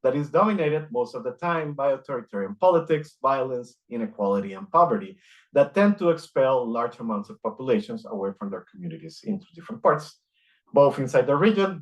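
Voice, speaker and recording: A middle-aged man from Costa Rica giving an academic lecture, reading from notes in a measured way with frequent "uh" fillers. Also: mid-range voice, lively intonation. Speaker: guest lecturer.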